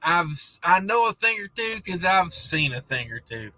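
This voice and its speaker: A man hosting a trucking livestream, reading viewer comments in a rambling, laid-back chat, and his voice is going hoarse. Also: high-pitched, sing-song, normal speed, bright, forceful tone, no echo. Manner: rambling, laid-back, many fillers and restarts. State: losing his voice.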